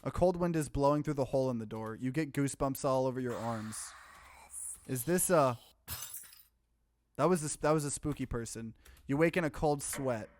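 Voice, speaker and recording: A man doing a let's play, reading game text aloud and breaking in with animated, bewildered reactions. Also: medium pitch, moderate pace, long pauses, slight background noise.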